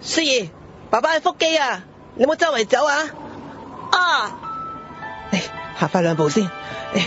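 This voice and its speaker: A man's voice: extremely high pitch, sing-song, unhurried, exaggerated voice. A man with a Hong Kong accent playing a harried, grumbling father in a radio drama. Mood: concerned, coaxing, then weary, troubled. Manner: sighing, exasperated. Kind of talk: Cantonese radio drama acting. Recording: dull lo-fi audio with background music.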